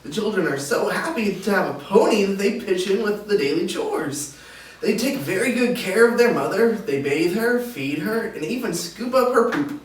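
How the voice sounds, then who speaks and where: A man's voice: high-pitched, lively intonation, moderate pace. A man playing a nervous but stubborn, contented husband in an amateur table read, with an insistent manner. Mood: cheerful. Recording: distant mic, echoey room, faint noise.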